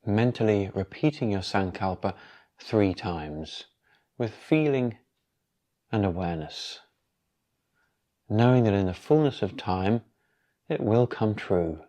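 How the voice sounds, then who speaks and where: Low-voiced man guiding meditation. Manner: calm, unhurried, instructional, with long pauses. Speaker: yoga nidra meditation guide. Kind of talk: guided meditation.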